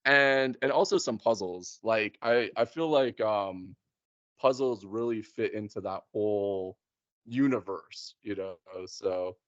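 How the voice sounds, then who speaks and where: A man's voice: on the low side, slow, no room sound. Man chatting casually, dull dry lo-fi sound. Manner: enthusiastic, frequent laughter. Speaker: podcast host. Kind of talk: casual chat about role-playing games and films.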